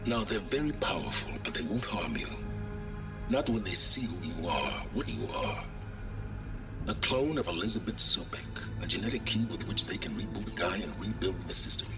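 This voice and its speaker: An actor playing a cool, manipulative male schemer in a video game, speaking in a measured, patronizing way. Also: deep voice, animated, over music. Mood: persuasive. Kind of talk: cinematic video game acting.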